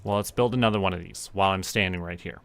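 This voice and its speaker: Male streamer, low-ish voice, relaxed self-talk gameplay commentary over faint game music. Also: quick pace, clean tone. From Canada. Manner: relaxed, muttering to himself while playing.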